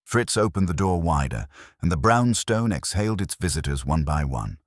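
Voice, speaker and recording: A man's voice: low-pitched, big pitch swings, moderate pace, no room sound, studio quality. A man narrating a scripted first-person detective story.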